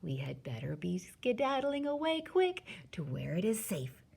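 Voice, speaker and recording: A woman voicing a gentle, knowing male giant in a storybook, speaking in a hush with quaint mangled grammar. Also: low-pitched, expressive, unhurried, slightly rough, voice pushed down, full character voice. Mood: urgent hush.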